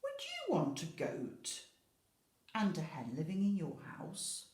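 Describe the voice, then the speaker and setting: A woman's voice: lowish voice, huge pitch swings, takes it slowly, a little mellow, roomy sound. A woman speaking as an infant school teacher, explaining simply and expressively to young children. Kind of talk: children's story reading and questions.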